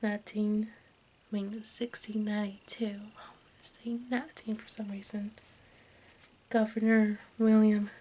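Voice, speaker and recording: A woman reading aloud in ASMR style in a soft, breathy whisper and hushed speech, halting as she goes. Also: medium pitch. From United States. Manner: halting, stumbles over names and words. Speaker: ASMR video creator. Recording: faint background noise.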